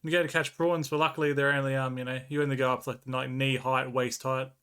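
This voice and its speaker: A man's voice: mid-range voice, little pitch movement, fast, pushed and bright, clear, resonant tone. An Australian man streaming live, rambling casually as he reads and answers his chat and swears freely.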